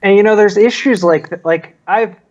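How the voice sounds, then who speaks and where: Higher-pitched American man, lo-fi. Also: moderate pace. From United States. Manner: rambling, frequent "like" and "you know". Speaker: vaping talk show panelist. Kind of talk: casual chat.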